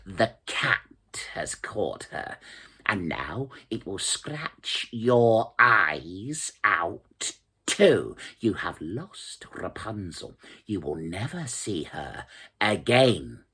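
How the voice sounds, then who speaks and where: Storybook witch, old woman played by a reader: husky, menacing, scornful, stretching words slowly. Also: sits low, very animated, bright, forceful tone, exaggerated voice. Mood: scornful gloating. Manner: dramatic, menacing, drawn-out.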